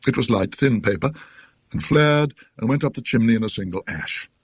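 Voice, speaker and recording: An earnest, donnish English gentleman played by a male narrator from a gothic ghost story. His husky voice rises and falls widely, suspicious and emphatic, in a dry close recording. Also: mid-range voice, lively intonation, moderate pace, no room sound. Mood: dry, reflective. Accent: British.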